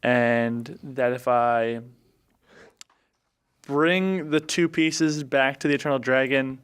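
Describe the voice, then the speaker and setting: A man casually recapping a game adventure as a podcast player, often halting as he searches his memory. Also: mid-range voice, a bit bright, clear, resonant tone.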